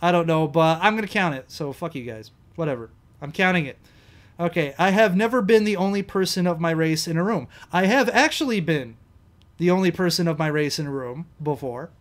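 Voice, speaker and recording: Casting: young Midwestern guy, casual vlog host, rambling and self-correcting, a touch high-pitched. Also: expressive, brisk. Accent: Midwestern American. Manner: rambling, self-correcting, swears, laughs at himself. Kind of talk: casual solo commentary, reading quiz items aloud.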